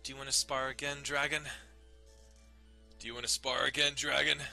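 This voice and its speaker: A man's voice: mid-range voice, little pitch movement, normal speed, vocal fry, bright, forceful tone, faint music behind, pitched above the performer's own voice. A man voicing a teasing, challenging young hero trainee and sparring partner in a visual novel.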